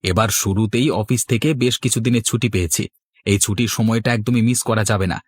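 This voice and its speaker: A man's voice: on the low side, fairly even intonation, fast, slightly rough. A man playing an affable, reassuring husband in a cartoon, with a genial, soothing manner. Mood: cheerful, reassuring. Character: affable, reassuring husband who loves winter treats. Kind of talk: cartoon voice acting.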